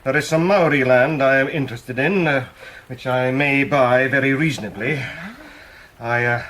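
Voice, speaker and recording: A man acting a stiff, awkwardly polite colonial husband in a period radio drama, formal and halting. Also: mid-range voice, a bit bright, slight background noise, lightly acted.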